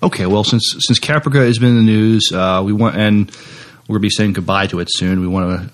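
A man's voice: lowish voice, a little fast. A man co-hosting a podcast in a laid-back way, often chiming in with short agreeing replies. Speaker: podcast co-host. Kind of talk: casual podcast chat.

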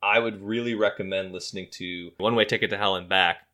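A man from Michigan chatting casually on a podcast, enthusiastic and quick to laugh. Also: low voice, fairly even intonation, sharp tone. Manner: enthusiastic fanboy, laughs often. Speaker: podcast co-host.

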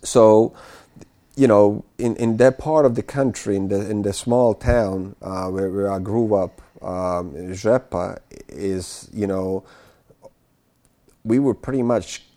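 A man from Bosnia, around forty, recalling his childhood as an interview guest, speaking haltingly with many fillers and restarts. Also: lowish voice, dark tone. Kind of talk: casual spoken recollection.

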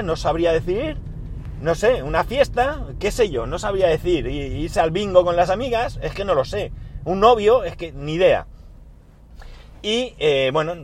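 A man's voice: fairly high pitch, slight background noise. Man with a sore throat musing in his car. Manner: rambling, self-correcting, thinks aloud with many fillers. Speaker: podcast host recording a daily audio diary. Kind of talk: casual spoken diary monologue. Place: inside a car.

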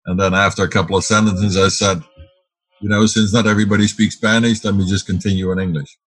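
A man's voice: fairly low pitch, flat delivery, quick pace, breathy edge, rough recording. A middle-aged man whose first language is Dutch, answering interview questions in a rambling way, with many fillers and restarts. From Netherlands. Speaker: guest, business owner.

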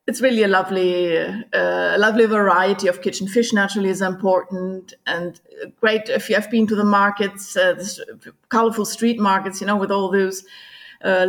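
A middle-aged woman from Germany with a German accent, a tour guide talking as a podcast guest, laughing often and pausing on fillers. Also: mid-range voice. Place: home in Sicily, remote call.

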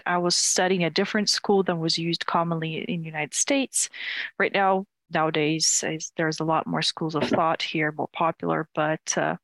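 A woman's voice: deep voice, fairly bright tone, dry recording. A woman in her twenties from Ukraine, a dancer answering interview questions in a candid way, laughing often and restarting her sentences. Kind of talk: casual interview.

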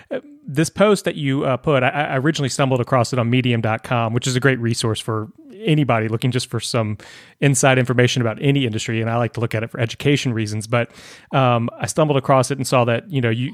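A man's voice: medium pitch, fast, no room sound, studio quality. A man hosting an education podcast, talking in a chatty, conversational way with frequent fillers. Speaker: podcast host. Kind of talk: podcast interview.

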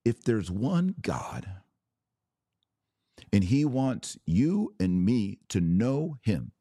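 A man's voice: on the low side, big pitch swings, extremely slow, mellow, dry recording, clean studio sound. A man hosting a religious podcast, giving emphatic, dogmatic commentary in short punchy phrases with rhetorical questions. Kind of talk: opinionated religious commentary, talking to listeners.

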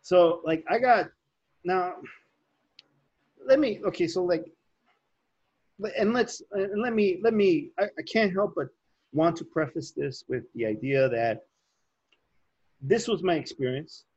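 A man's voice: high-pitched, a little slow, pauses often, a little mellow, breathy edge, highs cut off. A man from Chicago's southwest side chatting as a podcast guest, agreeable and conversational with hesitant restarts. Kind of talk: casual podcast chat.

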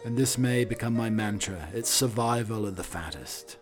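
Croaky man hosting a comic podcast. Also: on the low side, normal speed, over music. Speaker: podcast host. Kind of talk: scripted comic monologue and readings.

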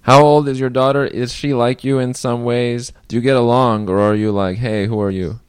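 A man's voice: medium pitch, steady tone, moderate pace, clean tone, no room sound. A man hosting a podcast interview, asking questions in a casual, joking, rambling way. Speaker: podcast host.

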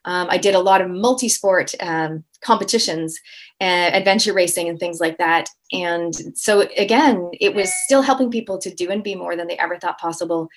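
A woman's voice: on the low side, moderate pace. A middle-aged woman from Canada with a Canadian accent, a guest talking casually in an interview, upbeat and full of fillers and restarts. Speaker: guest, impact coach.

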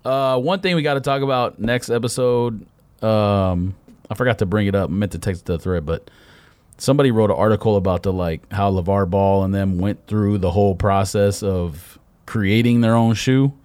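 A man's voice: on the low side, normal speed, darkish tone, smooth. Casual man co-hosting a podcast. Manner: informal, peppers talk with "like". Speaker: podcast co-host. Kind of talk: casual chat.

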